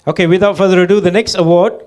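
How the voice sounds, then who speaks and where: A man's voice: high-pitched, steady tone, very fast, darkish tone. A man hosting an award ceremony, making formal announcements with fillers between them. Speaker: emcee.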